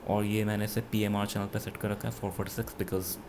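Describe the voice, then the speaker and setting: A man's voice: on the low side, flat delivery, fast, background noise. A man with an Indian accent gives a casual, unscripted product review, explaining things and mixing English phrases into his speech. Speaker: gadget reviewer.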